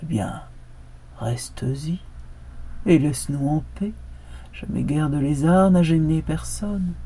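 Give me a disdainful, self-important horse, played by a storyteller, slow and haughty. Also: mid-range voice, mellow, slightly rough. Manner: whinnying, lofty and contemptuous. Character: noble racehorse, vain and disdainful.

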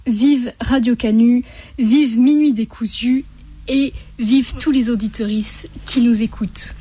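A woman with a fairly high voice, soft and mellow in tone. She talks casually and effusively, as in a personal message for radio listeners, with a playful streak. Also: steady tone, measured pace, breathy edge. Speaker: listener or contributor leaving a message. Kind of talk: casual personal spoken message introducing a song.

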